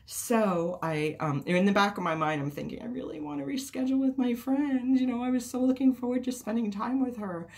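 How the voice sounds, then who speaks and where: A woman vlogger chatting casually to camera, her voice raspy and hoarse from a cold, rambling and swearing freely. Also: on the high side, animated, brisk, hardly any pauses, a little mellow.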